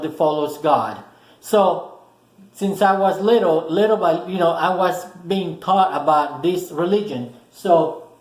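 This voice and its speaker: A man with a Spanish accent and a fairly high voice talks through a self-help lesson in short, patient phrases, often repeating himself. His first language is Spanish. The room around him is echoey, so his voice rings a little. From Spanish-speaking country. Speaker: self-help video host. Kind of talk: explanatory talk to camera.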